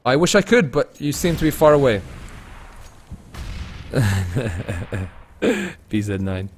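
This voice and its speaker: A young man casting an esports match, laid-back and tired between plays, rising to fast, excited calls when the action heats up. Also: medium pitch, a little hiss or hum. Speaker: esports caster. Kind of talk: live esports commentary mixed with chatting to viewers.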